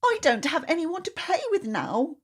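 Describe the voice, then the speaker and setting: A woman's voice: high-pitched, very animated, normal speed, voice pushed up. A woman voicing an upset little girl who pleads in a childlike way in a storybook reading. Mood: sad.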